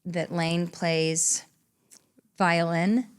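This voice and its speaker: A woman's voice: on the low side, fairly even intonation, drawn-out words, clean tone. A woman, chatting casually as an interview guest in a small recording studio, rambling and laughing often. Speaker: singer-songwriter guest.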